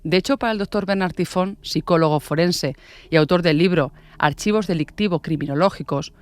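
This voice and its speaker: Low-voiced woman narrating true crime over ambience. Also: brisk. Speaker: podcast host and author.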